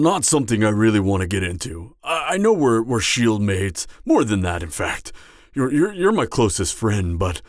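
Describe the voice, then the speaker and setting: A man's voice: fairly low pitch, no room sound, clean studio sound. A man playing an anxious, awkward, slightly drunk part-giant mercenary in an intimate fantasy audio roleplay, hesitant and stammering. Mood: evasive, downcast. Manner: hesitant, stammering, trailing off, sighing.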